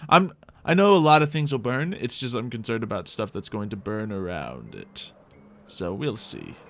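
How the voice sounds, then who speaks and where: Playful male game streamer, swooping pitch. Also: very animated, moderate pace, vocal fry, fairly bright tone, slight background noise. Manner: playful, rambling, talks to himself and to game animals. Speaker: streamer hosting a Minecraft live stream. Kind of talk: casual live gameplay commentary.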